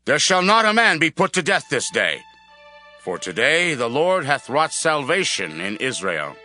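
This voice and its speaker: Warrior king, commanding, declamatory, bright edge, slightly high pitch, biblical drama. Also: big pitch swings, unhurried, full character voice. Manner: declamatory, emphatic. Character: commanding, merciful warrior king proclaiming to his people. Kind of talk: dramatized scripture acting. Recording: music bed behind the voice.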